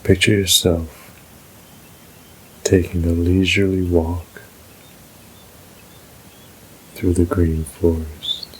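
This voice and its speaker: A man narrating a scripted guided meditation in a soothing, measured, unhurried way. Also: low voice, flat delivery, slight background noise, poor audio.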